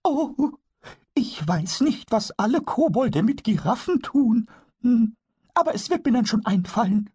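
A man voicing a small, mischievous kobold in a storybook reading, excitable and childlike. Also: extremely high pitch, measured pace, breathy edge, dry recording, voice pushed up, full character voice. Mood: puzzled. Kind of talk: storybook character voice.

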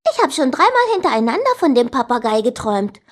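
Frau spielt freches, beharrliches Mädchen, hohe Stimme. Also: female voice, high voice, sing-song, quick pace. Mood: insistent, triumphant. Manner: insistent, emphatic. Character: sly, teasing, insistent older sister, a young girl. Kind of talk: children's story character dialogue.

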